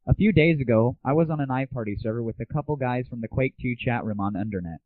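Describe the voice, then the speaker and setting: A man's voice: medium pitch, fast talker, no echo, soft background music. A man hosting a solo internet audio show in a casual monologue, crude, sarcastic and irreverent. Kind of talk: casual scripted monologue about games and the internet. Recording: muffled lo-fi 1990s internet audio, dry.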